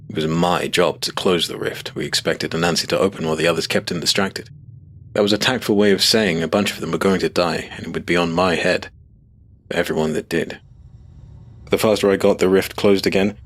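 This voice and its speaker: A man's voice: low voice, a bit bright. A man reading a scripted first-person fantasy story as its narrator.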